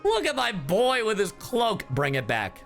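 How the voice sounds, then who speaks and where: A man's voice: high-pitched, huge pitch swings, unhurried, gravelly, fairly bright tone. A young man giving casual live reactions as a game streamer, slangy and joking, with rambling asides. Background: game music.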